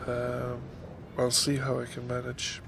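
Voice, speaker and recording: Man, fifties, blocked nose, gravelly fry, rambling with many ums, faint hiss behind, desk mic at home. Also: mid-range voice, completely flat delivery, a little slow. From Ireland. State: stuffy nose from a head cold. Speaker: YouTuber. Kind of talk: casual vlog update. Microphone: desk microphone on a stand.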